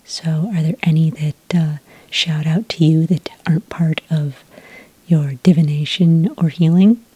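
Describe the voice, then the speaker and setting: A woman playing a friendly, patient witch enrollment clerk in an ASMR roleplay, speaking in a gentle, breathy whisper with an unhurried, helpful manner. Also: low-pitched, fairly even intonation. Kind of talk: soft-spoken ASMR roleplay.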